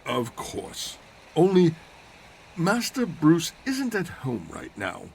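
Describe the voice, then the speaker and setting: An actor playing an elderly, deferential butler in an audio drama, formal and polite with a dry wit. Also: male voice, a little high, huge pitch swings, takes it slowly, creaky, a little mellow, a little hiss or hum, exaggerated voice. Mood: polite, reserved. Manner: formal, polite, drily witty.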